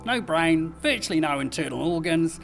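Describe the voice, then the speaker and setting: A woman's voice: low-pitched, lively intonation, normal speed, gravelly, faint music behind, voice pushed down. A woman voicing an unbothered, matter-of-fact sea star in a puppet show. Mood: relaxed. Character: laid-back know-it-all sea star.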